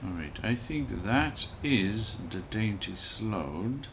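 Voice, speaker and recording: A man with a deep, low voice talks through his gameplay in a relaxed, unhurried way, chatting with viewers between moves. Steady noise sits clearly behind him. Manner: relaxed, thinks aloud and chats with viewers while playing. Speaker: livestreamer playing a video game. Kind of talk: casual let's-play commentary.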